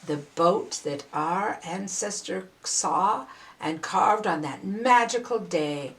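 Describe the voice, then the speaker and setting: An older woman reading a children's story aloud in an expressive, deliberate way with dramatic pauses. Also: on the low side.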